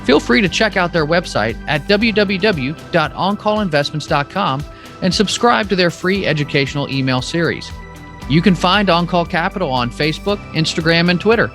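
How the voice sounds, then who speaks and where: A man's voice: fairly high pitch, fairly bright tone. A man hosting a podcast, talking frankly in casual conversation and reading a scripted outro. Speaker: podcast host.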